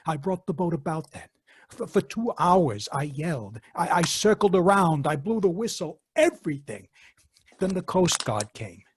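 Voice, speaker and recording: A man playing a frightened accused fisherman in an old-time radio drama, husky, earnest and stammering as he pleads. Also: a little high, sing-song, normal speed, dry recording. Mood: anguished, earnest.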